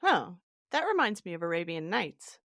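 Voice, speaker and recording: A woman's voice: lowish voice, sing-song, moderate pace, fairly bright tone, no echo, studio quality. A woman hosting a podcast, chatting casually about a story and steering the discussion.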